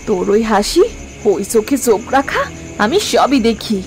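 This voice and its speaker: A woman's voice: fairly high pitch, lively intonation, measured pace, soft background music. A woman playing a strict, worried village mother in a cartoon, sarcastic and scolding. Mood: scornful scolding. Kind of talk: dramatic cartoon voice acting.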